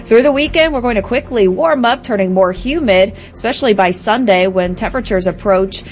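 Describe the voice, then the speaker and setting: Weather lady on a morning show, upbeat, chatty, middle-pitched voice. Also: fairly quick, a little hiss or hum. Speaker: TV meteorologist. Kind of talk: weather forecast and casual banter.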